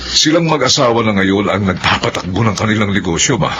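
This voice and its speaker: A man playing a chatty, excitable older brother figure giving advice in a radio-style drama. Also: fairly low pitch, brisk, slight background noise. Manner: animated, excitable.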